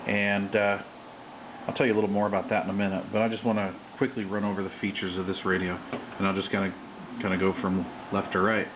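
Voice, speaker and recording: A man giving a casual, unscripted walkthrough of his hobby gear, halting often and tossing in offhand asides. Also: low-pitched, level tone. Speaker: CB radio hobbyist presenting his own base station. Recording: muffled phone-like sound, loud background noise.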